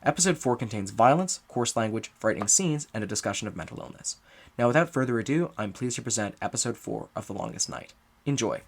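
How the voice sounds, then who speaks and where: A man's voice: mid-range voice, animated, fast, vocal fry, husky. A man reading a scripted introduction and announcements as the writer and director of a show.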